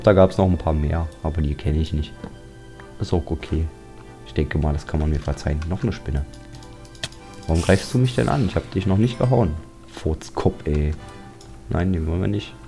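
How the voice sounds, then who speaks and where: A deep-voiced man chats casually as he plays, cracking jokes and grumbling at the monsters that attack him. His tone is dark and mellow, and soft game music plays behind him. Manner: chatty, joking, grumbles at mobs. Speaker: Let's Play commentator. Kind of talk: casual gameplay commentary.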